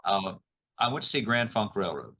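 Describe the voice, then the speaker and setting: A man in his sixties hosting a casual interview, telling stories in a halting, conversational way. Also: on the low side, very animated, sharp tone. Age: 60s. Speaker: podcast host, interviewer.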